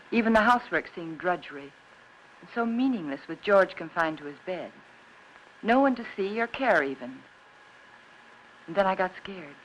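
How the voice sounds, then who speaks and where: An actress playing an anxious, weary young housewife in the style of a 1950s film noir. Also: female voice, on the low side, slight background noise, close to an everyday voice, low-quality recording. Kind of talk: 1950s film noir acting, first-person narration.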